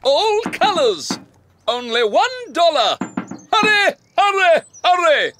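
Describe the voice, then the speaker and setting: A showy magic barber from a children's storybook, acted out by a woman. The delivery is slow and theatrical, chanting in a high voice that rises and falls widely, over faint noise. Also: big pitch swings, full character voice. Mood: urging, showy. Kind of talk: acted storybook reading for English learners.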